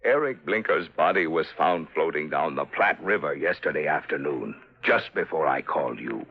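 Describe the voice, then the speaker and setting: An actor playing an anxious, guilt-ridden older businessman in 1950s radio drama style, halting and pleading, with formal wording. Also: a bass voice, animated, gravelly, full character voice. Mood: grave, confessing. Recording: dull vintage 1950s radio recording.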